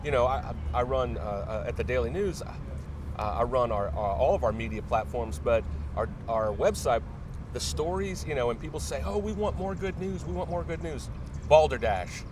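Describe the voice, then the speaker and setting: A middle-aged man tells stories casually as an interview guest, with a halting, hesitant flow and an average-pitched voice. He sounds some way from the mic, with clear noise rumbling behind him. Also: expressive, far from the mic. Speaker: interview guest, newspaper media director. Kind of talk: casual anecdotal storytelling.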